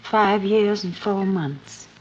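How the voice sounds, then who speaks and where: A woman's voice: mid-range voice, slow, a little mellow, lightly acted. An American actress playing a polite, chatty, wistful middle-aged wife and mother in 1950s radio drama style. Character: genteel, fluttery, wistful older wife and grieving mother. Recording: muffled, noisy old radio recording.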